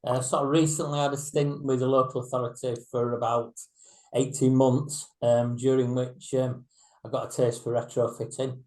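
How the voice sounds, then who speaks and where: A man's voice: medium pitch, flat delivery, normal speed, a little mellow. A man, a building surveyor, introducing himself hesitantly on a webinar video call, with many fillers. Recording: echoey room.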